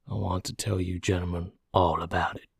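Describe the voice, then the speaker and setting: A man voicing a calm, weary, doomed prisoner who confesses slowly and methodically in an audiobook. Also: low-pitched, gravelly, dry recording, studio quality. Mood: grim calm. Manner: slow, placid, methodical. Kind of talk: audiobook character dialogue.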